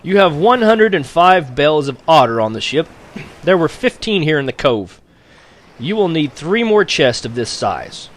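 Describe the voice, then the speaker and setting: A man's voice: fairly high pitch, sing-song, normal speed, voice pushed up. Storybook father, male, proud, firm hard bargainer, voiced by a man narrating. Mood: firm, insistent. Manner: firm, declarative, formal.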